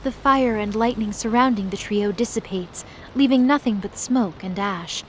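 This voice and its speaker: A woman's voice: medium pitch, moderate pace, slight background noise, natural voice, low-quality recording. A woman delivering dramatic scripted narration as the narrator of an audio drama. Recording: loud dramatic music behind the voice.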